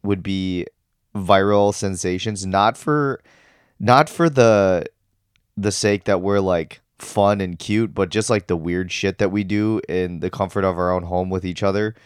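A man's voice: sits low, unhurried, smooth, no room sound, clean studio sound. An American man co-hosting a podcast with casual, laid-back, joking banter while a little under the weather. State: mildly sick with a cold-like illness. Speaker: podcast co-host.

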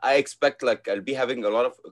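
A man's voice: medium pitch, fairly even intonation, fast talker. A man from Egypt hosting a podcast interview, speaking hesitantly with many fillers and restarts. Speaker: podcast host. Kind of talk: casual interview.

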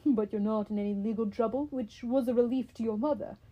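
A woman voicing a wise old centaur teacher who speaks calmly and kindly. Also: a little high, a little fast, highs cut off, close to an everyday voice.

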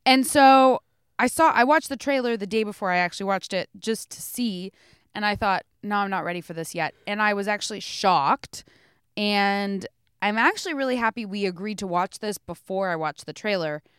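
A woman's voice: medium pitch, moderate pace, fairly bright tone, clear, resonant tone, no room sound, clean studio sound. A woman co-hosting a podcast, chatting casually and enthusiastically about a film. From North America. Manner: enthusiastic, emphatic. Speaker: podcast co-host. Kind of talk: casual film review chat.

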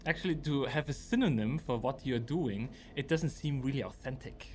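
A man's voice: medium pitch, moderate pace, darkish tone. Austrian man in interview, some background noise. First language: German. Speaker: architect, interview guest. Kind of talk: reflective interview conversation.

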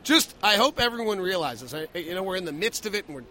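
Male sports radio host, high-pitched and forceful, emphatic post-game analysis, faint background noise. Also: very animated, quick pace.

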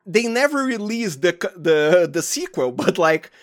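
Higher-voiced Brazilian man chatting. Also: big pitch swings. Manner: enthusiastic, halting, searching for words. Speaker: interview guest, audio drama sound designer. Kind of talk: casual interview chat.

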